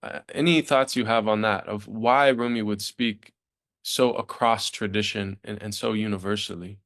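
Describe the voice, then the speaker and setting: Male interviewer, fairly deep voice, thoughtful and tentative, long exploratory questions. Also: steady tone, normal speed, fairly bright tone.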